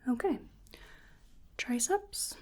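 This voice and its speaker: A woman doing soft-spoken ASMR roleplay, largely whispering, gently and hesitantly murmuring to the listener in a breathy voice. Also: high voice, lively intonation, extremely slow.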